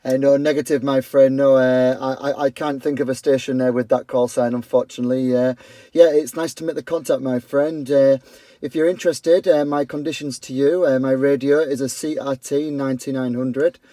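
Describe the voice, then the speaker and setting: Friendly radio operator, mellow. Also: male voice, medium pitch, fairly even intonation, moderate pace, dry recording. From York, England. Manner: friendly, keeps saying "my friend". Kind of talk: radio contact exchange. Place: outdoors by his house.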